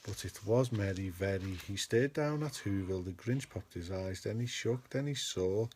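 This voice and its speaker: A man's voice: fairly low pitch, normal speed, a little mellow, a little hiss or hum. A man reading a children's storybook aloud as a podcast host, in a narrating style.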